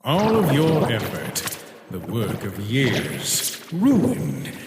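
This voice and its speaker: Man as manipulative schemer, theatrical, comic dub, slow delivery, drenched in reverb. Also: medium pitch, wildly swooping pitch, exaggerated voice. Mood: calculating, persuasive. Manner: deliberate, persuasive, theatrical. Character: manipulative male schemer urging a warrior, grave and calculating. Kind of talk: dramatic comic dub voice acting. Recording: echoey reverberant sound under loud music.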